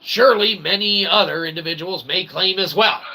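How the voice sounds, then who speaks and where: A man's voice: high voice, moderate pace, pushed and bright. A man delivering a tongue-in-cheek, rambling philosophical monologue as a channel host, in wordy, convoluted phrasing with frequent check-ins.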